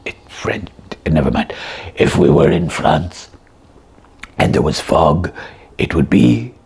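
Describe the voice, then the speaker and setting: A woman voicing a gruff, dim, curious sock puppet sidekick in a comic puppet show, with a husky voice and a halting, deadpan, questioning manner. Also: very deep voice, heavily acted. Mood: puzzled. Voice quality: husky, gravelly.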